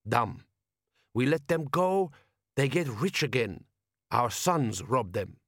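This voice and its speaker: A man doing a slow-spoken jovial desert chieftain. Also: medium pitch, sing-song, fairly bright tone, no echo, clean studio sound, full character voice. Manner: slow, broken English, halting foreign accent put on.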